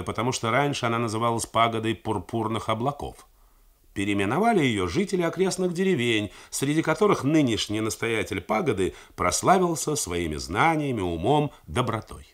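A man's voice: mid-range voice, voice pushed up. A man voicing an earnest, eager young army major who pleads his case. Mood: persuasive.

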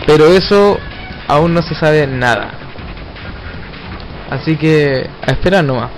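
A man's voice: a little high, takes it slowly, faint music behind. A young man with a Chilean accent hosting a co-op game, chatting casually in slangy, sweary bursts. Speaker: gamer hosting a co-op playthrough. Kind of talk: casual gaming chatter and commentary. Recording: noisy voice-chat recording with loud game sound. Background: video game action sounds.

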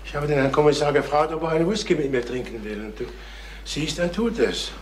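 An actor playing a jittery, evasive middle-aged salesman and father in a radio crime play, speaking haltingly with repetitions. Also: fairly high pitch, roomy sound, slight background noise, close to an everyday voice. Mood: defensive, strained. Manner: halting, repeats himself. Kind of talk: German radio crime drama acting.

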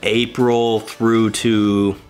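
A man's voice: fairly low pitch, little pitch movement, faint music behind. Stuffy-nosed man reviewing fragrances. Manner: chatty, lists items in quick runs. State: stuffy with a head cold. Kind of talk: casual video review. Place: indoor room with shelves of bottles.

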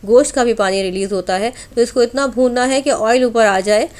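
A woman with a fairly high voice narrates as the host of a cooking channel. She speaks in an instructional way, guiding viewers directly through each step as a tutorial voice-over. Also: steady tone, brisk, darkish tone.

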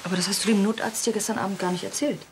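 A woman's voice: medium pitch, fairly even intonation, very fast, background noise, close to an everyday voice. A middle-aged woman playing a practical, reassuring member of a boarding school staff in a TV drama. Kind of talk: TV drama acting. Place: small indoor sickroom set.

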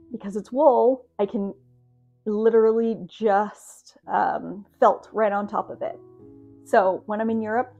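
A woman's voice: fairly high pitch, vocal fry, a little mellow, lo-fi sound. A woman in her forties chatting casually to the camera from her home craft studio, with lots of ums and restarts. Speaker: craft vlogger.

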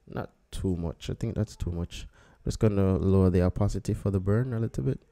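A man with a low, mellow voice walks through a photo edit on screen. He talks in an easy, unhurried way, thinking aloud as he clicks through each step. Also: creaky. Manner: relaxed, thinking aloud while working. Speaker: photographer teaching photo editing. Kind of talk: screen-recorded software tutorial.

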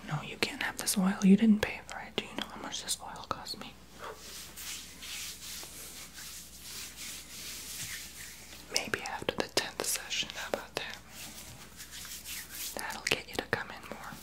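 A man's voice: high voice, normal speed. A young man voicing a sassy, unqualified therapist in a whispered ASMR roleplay. He whispers blunt, sarcastic remarks close to the mic in a small home office. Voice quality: soft unvoiced whisper. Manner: blunt, sarcastic, gossipy sass. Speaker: ASMR creator.

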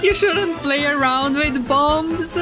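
A woman with a high voice reacts casually as a streamer. She gushes and exclaims at an unhurried pace, with some music behind her. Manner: gushing, exclamatory. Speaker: VTuber streamer. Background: game trailer music.

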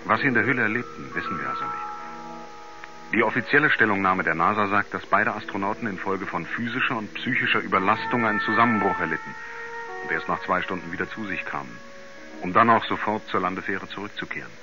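An actor playing a sober reporter, narrating in a measured, factual documentary manner for a radio play. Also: male voice, low voice, lightly acted. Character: sober male reporter narrating a mysterious space incident. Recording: dull vintage radio recording, music underneath.

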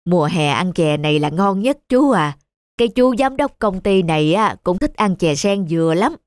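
A woman's voice: lowish voice, lively intonation, moderate pace, no room sound, studio quality, close to an everyday voice. A woman voicing a humble, affectionate elderly street dessert seller in a cartoon, chatty and homely. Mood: chatty, cheerful. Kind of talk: cartoon voice acting. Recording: background music under dialogue.

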